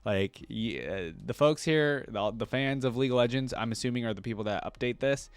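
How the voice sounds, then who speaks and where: A man hosting a fan reaction video, reading aloud and thinking out loud in a casual, conversational way with asides. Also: medium pitch, brisk, clean tone, dry recording.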